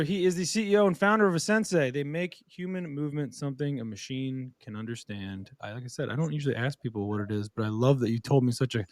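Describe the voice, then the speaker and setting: A man's voice: medium pitch, dry recording, clean studio sound. A man hosting a podcast interview, casual and chummy with his guest. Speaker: podcast host.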